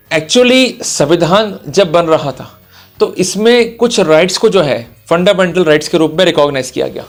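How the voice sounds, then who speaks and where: A man with an Indian accent giving an explanatory lecture as an advocate, didactic and emphatic, repeating his key points. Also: high-pitched.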